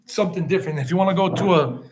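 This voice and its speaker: A man's voice: sits high, racing. Chatty rambling man in an echoey room. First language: English. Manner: rambling, answers viewer comments, mixes Spanish words. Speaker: travel vlogger hosting a live stream. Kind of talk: casual live-stream chat.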